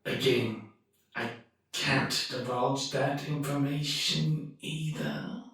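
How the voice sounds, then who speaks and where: A man's voice: fairly even intonation, a bit bright. An actor playing a formal, politely evasive ship's crewman in a radio play. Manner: formal, apologetic, slow. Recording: very echoey, boomy room.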